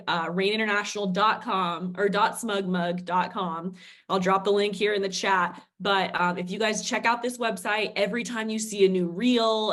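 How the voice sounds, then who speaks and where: A woman, a marketing vice president, presenting on a company call with a slight rasp from a head cold, chatty and encouraging. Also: medium pitch, fairly bright tone. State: head cold, a little raspy.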